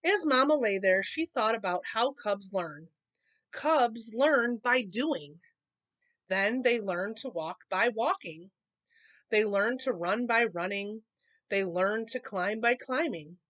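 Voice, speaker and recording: Animated woman reading a storybook aloud. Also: mid-range voice, slow pace. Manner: expressive, explaining to young listeners. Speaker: storyteller reading a picture book to children. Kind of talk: animated read-aloud with casual asides.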